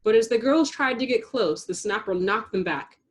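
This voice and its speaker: A woman reading a children's storybook aloud in an expressive narrating style. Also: on the high side, normal speed. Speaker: storybook reader.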